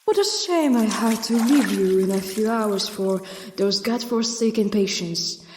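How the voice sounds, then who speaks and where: An actress playing a weary hospital worker relaxing in a bubble bath, speaking slowly in an audio drama. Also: female voice, medium pitch, roomy sound, in a large hall, slight background noise, close to an everyday voice. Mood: relaxed. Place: bathroom in bath.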